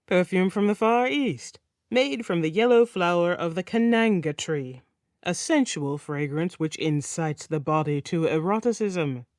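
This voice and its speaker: A woman voicing a genial, fastidious, teasing king with a Nordic accent and a casually menacing streak, in an audiobook saga. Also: lowish voice, lively intonation, a little mellow, dry recording, studio quality. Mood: grandly sensual.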